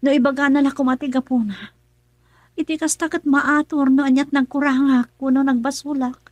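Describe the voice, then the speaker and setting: A woman's voice: sits high, fairly even intonation, moderate pace, no echo, natural voice. An actress playing a young wife who suspects her husband in a radio drama, emotional and accusing, with an Ilocano accent. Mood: worried. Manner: pleading then accusing, emotional.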